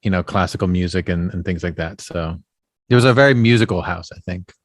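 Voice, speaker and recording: A man with a lowish voice tells stories as a podcast guest. He rambles along, filling pauses with "you know" and "um". The sound is dry and close, with no room echo. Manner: rambling storyteller, leans heavily on "you know" and "um". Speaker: actor and puppeteer guest. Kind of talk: casual podcast interview.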